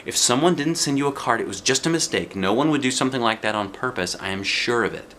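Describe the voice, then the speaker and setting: A man's voice: medium pitch, expressive, fast talker, a bit bright, a little hiss or hum, lightly acted. A man voicing a patient, kindly but exasperated woman schoolteacher explaining things to a small child in a storybook. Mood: firm, reassuring. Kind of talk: children's storybook read aloud.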